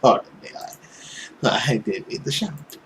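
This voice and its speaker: A man voicing a bitter, anguished male vampire in a visual novel, with theatrical, drawn-out, sneering delivery. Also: mid-range voice, animated, a little hiss or hum, voice pushed down. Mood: placid.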